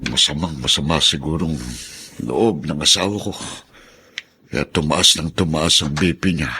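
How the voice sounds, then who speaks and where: A man's voice: extremely low pitch, unhurried, pushed and bright, heavily acted. A man voicing a poor, humble older husband who stammers and grieves over his sick wife, in an audio drama. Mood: sorrowful. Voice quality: husky, stammering. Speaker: voice actor.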